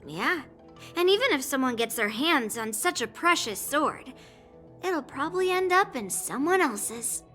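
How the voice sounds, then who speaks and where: A cocky young swordswoman who speaks bluntly. Her voice is bright and high, and it swings widely in pitch. Faint music plays behind her. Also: animated, unhurried, heavily acted. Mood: wry. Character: brash, confident young swordswoman.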